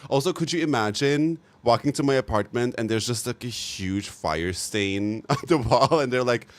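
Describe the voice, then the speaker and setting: A man streaming live, chatting casually with viewers in an animated way, swearing freely and laughing often. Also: mid-range voice, normal speed.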